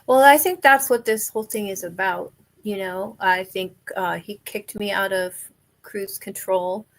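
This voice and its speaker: Gravelly-voiced woman, candid and earnest. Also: mid-range voice, measured pace, low-quality recording. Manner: candid and earnest, laughs easily. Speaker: podcast guest. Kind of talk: conversational interview.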